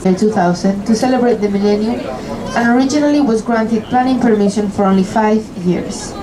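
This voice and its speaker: A woman's voice: fairly high pitch, poor audio. Spanish-accented woman guiding a boat tour. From Spain. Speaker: tour guide. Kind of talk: live tour commentary over a boat speaker. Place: river cruise boat.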